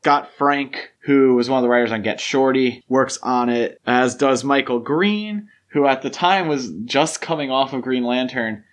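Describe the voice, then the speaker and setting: A man's voice: mid-range voice, a little slow, hardly any pauses. A man hosting a podcast, talking casually about films in an explanatory, opinionated way with long, winding thoughts. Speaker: podcast host. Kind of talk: casual film discussion.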